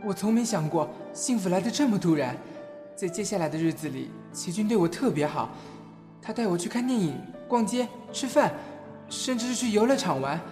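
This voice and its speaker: Man playing a soft, hesitant lovestruck young man. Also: sits high, expressive, a little fast. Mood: happy, dreamy. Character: shy, lovestruck, insecure young man in romance. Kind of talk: Chinese audio drama acting with inner narration. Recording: music behind.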